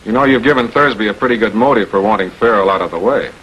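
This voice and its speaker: An actor playing a cool, authoritative public prosecutor in a 1940s American TV crime drama, measured, probing and businesslike. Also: male voice, on the low side, a bit bright, natural voice. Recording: dull, noisy 1940s film soundtrack.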